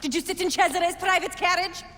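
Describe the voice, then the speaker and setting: A woman's voice: very high voice, fairly even intonation, fairly quick, big-room sound, background noise. An actress playing a cruel, jealous Renaissance noblewoman with a haughty, taunting manner, in a video game. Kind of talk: video game cutscene acting.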